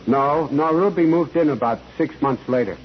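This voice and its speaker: Through muffled, faintly noisy vintage radio comes a nervous bookkeeper acted by a man with something to hide. He stammers and doubles his words, his highish voice jumping around as he testifies in an old courtroom drama. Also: normal speed, lightly acted. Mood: uneasy, gossipy. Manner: stammering, repeating words, jittery. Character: nervous, evasive bookkeeper hiding a criminal past.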